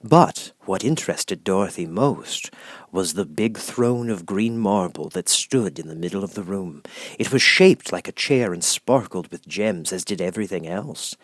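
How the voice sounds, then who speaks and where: A man's voice: sits low, animated, unhurried, no echo, clean studio sound. A man reading a scripted children's storybook as an audiobook narrator.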